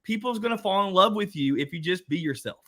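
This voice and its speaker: A man's voice: sits high, expressive, quick pace, fairly bright tone. A man with an Eastern Kentucky accent hosting a workshop panel, chatting folksily with the audience. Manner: chatty, folksy, restarts and speaks to the audience as "guys". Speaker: host. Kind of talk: casual workshop talk.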